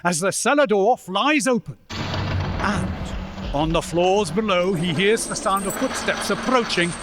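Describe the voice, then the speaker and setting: An actor narrating a radio play as a measured, dramatic storyteller of a gloomy ghost tale. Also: male voice, high-pitched, expressive, background noise.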